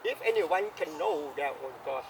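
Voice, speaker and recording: A man from Thailand speaking English with a Thai accent, giving an earnest, deliberate Dharma talk and repeating his key points. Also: a little high, animated, distant mic, background noise. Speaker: Buddhist Dharma teacher.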